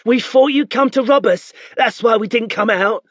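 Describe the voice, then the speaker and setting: A man's voice: very high voice, moderate pace, fairly bright tone, dry recording, pitched above the performer's own voice. A man voicing a frightened, plump alehouse keeper pleading with soldiers. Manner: pleading, frantic.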